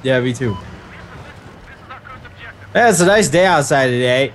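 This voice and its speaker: A man's voice: mid-range voice, animated, a little slow, a little hiss or hum. A young man joking around playfully while playing a shooter game with a friend. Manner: goofy, playful, mock military callouts. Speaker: co-op gaming guest. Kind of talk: casual co-op gameplay chat.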